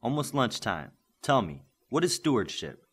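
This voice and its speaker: A man's voice: medium pitch, big pitch swings, normal speed, husky. A man playing a patient, firm superhero mentor in an audiobook, coaching and instructing steadily. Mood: instructive.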